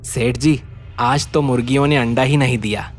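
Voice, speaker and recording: A man's voice: mid-range voice, fairly even intonation, moderate pace, close to an everyday voice. Humble village egg seller, voiced by a man, music behind. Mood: apologetic.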